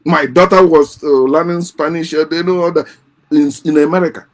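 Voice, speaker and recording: Impassioned man, fairly high voice. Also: fairly even intonation, moderate pace. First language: Igbo. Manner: impassioned, repeats and restarts phrases. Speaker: host. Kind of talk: casual monologue.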